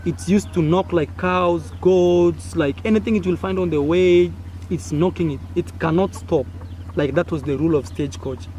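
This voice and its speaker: A young man with a Kenyan accent, Swahili his first language, telling a childhood story to camera in a casual, animated way, acting out sounds and gestures. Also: sits high, moderate pace. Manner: animated, acts out sounds and gestures with frequent fillers. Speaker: content creator. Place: outdoors in a village. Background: faint village noise.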